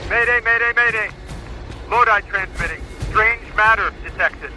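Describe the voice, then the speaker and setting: A man's voice: high-pitched, normal speed, pushed and bright, faint music behind. An actor playing an urgent, stranded male radio operator in a video game, sending clipped, telegraphic distress calls over a radio. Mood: urgent alarm. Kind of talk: scripted game radio transmission.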